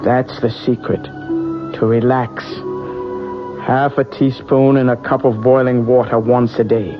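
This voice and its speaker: A secretive fortune teller played by a man speaks very slowly and deliberately, with cryptic insistence. The pitch is medium, and the delivery is old-time radio drama. The sound is dull vintage broadcast audio with music behind. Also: flat delivery, natural voice. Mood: cryptic, businesslike. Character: secretive fortune teller, doting parent to sick Claude.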